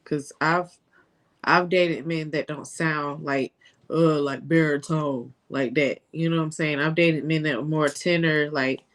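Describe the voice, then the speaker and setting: A woman with a deep voice for a woman, co-hosting a reaction show with blunt, opinionated slangy talk. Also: fairly even intonation, a little slow. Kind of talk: casual reaction chat.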